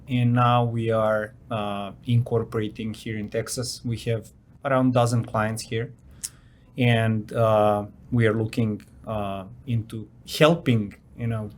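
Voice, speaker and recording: A man from Serbia, a company founder answering interview questions plainly and hesitantly, with many fillers. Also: mid-range voice. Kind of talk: interview guest.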